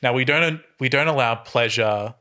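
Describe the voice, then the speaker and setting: A man's voice: medium pitch, normal speed, sharp tone. A man playing a tabletop game, casually improvising comedic roleplay among friends.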